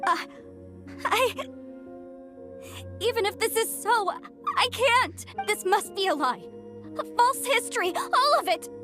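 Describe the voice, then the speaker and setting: Tiny squeaky companion, very high, slow, clear music behind. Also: female voice, expressive, heavily acted. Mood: shaken. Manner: exclamatory, quick reactions. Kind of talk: game voice acting.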